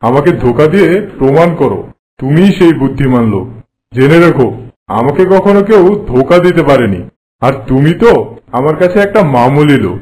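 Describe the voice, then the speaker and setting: A man playing a boastful, haughty king in an animated folk tale, speaking in a pompous, commanding way. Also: mid-range voice, fairly quick, close to an everyday voice, rough recording. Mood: imperious. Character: boastful, haughty king who prides himself on trickery.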